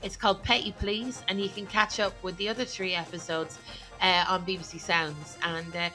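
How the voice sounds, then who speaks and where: A woman's voice: mid-range voice, steady tone, moderate pace, bright, forceful tone, faint music behind, poor audio. A woman comedian guest chatting casually on a podcast, giggly and rambling with lots of fillers.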